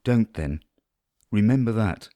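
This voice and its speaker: Casting: compassionate young gentleman, read by a female narrator, very low and mellow, earnest, measured, for literary audiobook. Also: lively intonation. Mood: urgent, earnest.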